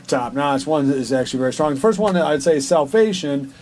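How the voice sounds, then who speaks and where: Higher-pitched man chatting over background noise. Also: expressive, fairly quick, a little mellow. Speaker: co-host of a film and TV review show. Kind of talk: casual unscripted review chat.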